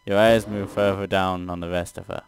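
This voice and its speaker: A man's voice: sits low, little pitch movement, fairly quick, music in the background. A man doing a let's play, reading a text game aloud and reacting casually.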